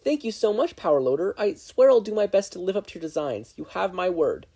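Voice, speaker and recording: An earnest, excitable teenage boy student, voiced by a man in an audiobook. His voice is pitched up and swings around eagerly, with a polite, gushing manner. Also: brisk, slightly rough, heavily acted. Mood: excited gratitude. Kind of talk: audiobook character voice.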